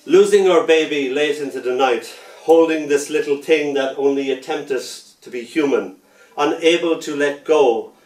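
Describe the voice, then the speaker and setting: Man reading poems, far off. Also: a little high. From Ireland. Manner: rhythmic, playful reading, slowing to gentle lullaby lines. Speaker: poet. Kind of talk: poetry reading with anecdotes. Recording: roomy sound from a distant mic.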